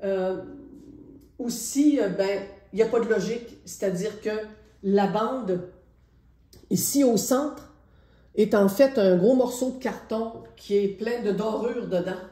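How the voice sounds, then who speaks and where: A woman's voice: mid-range voice, mellow. Reflective Quebec French woman painter, roomy sound. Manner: reflective, hesitant, with many pauses. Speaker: painter presenting her artwork. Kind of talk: casual spoken art presentation. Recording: roomy echo.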